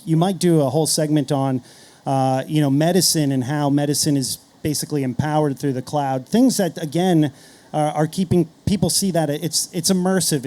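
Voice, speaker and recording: A man talking as a marketing panelist, explaining ideas to a group. His voice sits a little high for a man and has a soft, mellow tone. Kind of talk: panel discussion, explanatory talk.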